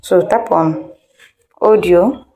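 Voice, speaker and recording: A woman's voice: fairly low pitch, slow, dark tone, low-quality recording. A woman instructor giving an informal step-by-step tutorial, repeating instructions and checking in with listeners. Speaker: video editing instructor.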